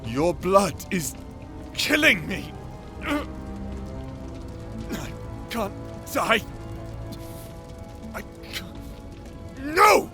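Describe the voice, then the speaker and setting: A proud, cursed fantasy captor, speaks in a high, very slow voice. He is anguished and imperious in the manner of dark audio drama, swinging widely in pitch. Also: very animated, music in the background, heavily acted. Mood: bitter realization, then agonized, panicked. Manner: theatrical, anguished, imperious, halting delivery.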